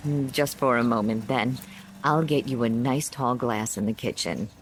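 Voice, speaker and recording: An actress playing a kindly, trusting suburban housewife in a radio drama, chatty and gracious in manner. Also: female voice, rumbling low, moderate pace, a little hiss or hum, poor audio. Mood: cheerful.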